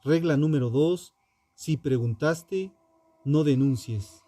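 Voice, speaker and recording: A man narrating a scripted true crime story with dramatic, deliberate phrasing and pointed pauses. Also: on the high side, dark tone, dry recording. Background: music under the voice.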